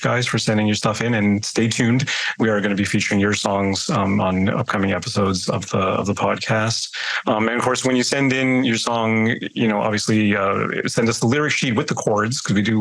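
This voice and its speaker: Rambling Toronto-accented podcast host. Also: male voice, lowish voice, gravelly, rough recording. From Canada. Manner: rambling, many fillers and restarts. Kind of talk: casual chat.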